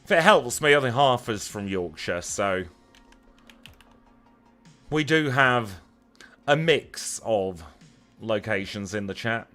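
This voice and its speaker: A man's voice: fairly low pitch, lively intonation, slow, pauses often, a bit bright, faint music behind. A man with a Lancashire accent chatting casually as he streams a video game, wry and self-deprecating. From Lancashire, northern England. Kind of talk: casual livestream chat over gameplay.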